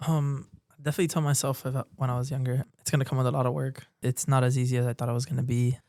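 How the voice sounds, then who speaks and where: A young male architecture student speaks sincerely about his passion in a gravelly, mellow voice. His pitch stays flat, and the sound is dry and close. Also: rapid-fire, no room sound. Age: young adult. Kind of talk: interview answers.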